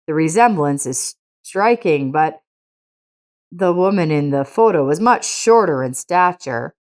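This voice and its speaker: A woman voicing a gentle, reassuring father in a narrated story, speaking in a soothing way. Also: low-pitched, expressive, a little slow.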